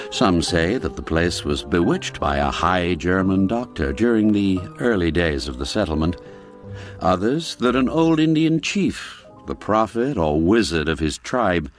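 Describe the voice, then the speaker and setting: A man with a very low voice reads a classic tale at an unhurried pace. His delivery is measured and deliberate, and his pitch rises and falls widely from phrase to phrase. Faint music plays quietly behind him. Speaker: narrator. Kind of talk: scripted radio story narration. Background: faint background music.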